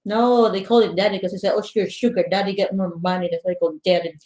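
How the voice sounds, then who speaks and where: Casting: blunt, chatty woman, middle pitch, roomy sound. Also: fairly even intonation, quick pace, dark tone. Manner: blunt, chatty, non-native English phrasing. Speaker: podcast co-host. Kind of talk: casual chat.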